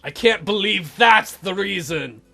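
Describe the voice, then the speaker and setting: A woman's voice: mid-range voice, lively intonation, unhurried, vocal fry, fairly bright tone, husky, faint music behind. A woman commentating a visual novel let's play with sarcastic, playful jokes. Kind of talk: reacting while reading a game aloud.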